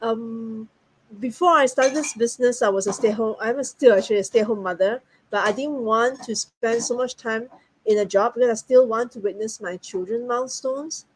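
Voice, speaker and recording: A woman's voice: a little high, normal speed, slight background noise, low-quality recording. A woman from Singapore, talking as a guest in a friendly, earnest way. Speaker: guest business partner. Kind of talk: casual online talk.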